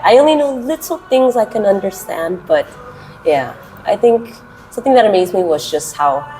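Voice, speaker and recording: A woman from the United States in her twenties, answering interview questions casually and with energy; she speaks with a naturally loud voice that she keeps held down. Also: medium pitch, poor audio. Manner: animated, chatty, drawn-out words. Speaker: interviewee, English teacher.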